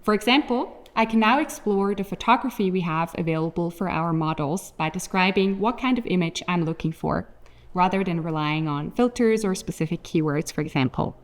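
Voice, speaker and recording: A woman's voice: lowish voice, normal speed. Polished woman presenting a product keynote. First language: German.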